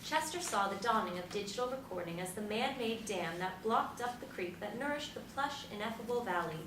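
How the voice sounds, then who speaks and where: A woman playing an aging Beat philosopher, poetic, measured and hopeful, in a stage play. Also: mid-range voice, animated, natural voice. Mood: reflective, hopeful. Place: live stage performance recorded from a distance. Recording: roomy, distant mic, faint noise.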